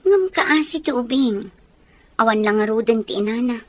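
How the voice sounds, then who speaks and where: A woman's voice: a little high, expressive, normal speed. A woman playing a chatty, pitying villager.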